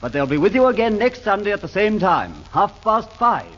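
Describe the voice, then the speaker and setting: A man making a formal, measured 1930s radio sponsor announcement, from a dull, lo-fi vintage radio recording. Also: sits high, sing-song, fairly bright tone, faint music behind.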